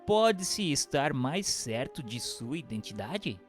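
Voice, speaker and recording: A man reading scripted notes and questions for a radio drama with formal, measured diction. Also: mid-range voice, very animated, faint music behind. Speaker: reader posing the questions.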